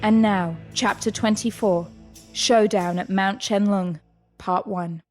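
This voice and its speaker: A woman's voice: mid-range voice, fairly even intonation, takes it slowly, a little mellow, faint music behind. A woman narrating an audio drama with scripted, dramatic storytelling delivery.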